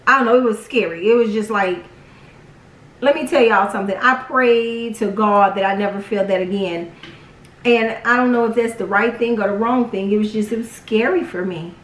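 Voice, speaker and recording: A young woman telling a personal story casually, with animated, dramatic retelling and quoted dialogue. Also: medium pitch, normal speed. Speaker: vlog storyteller. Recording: roomy echo, distant from mic.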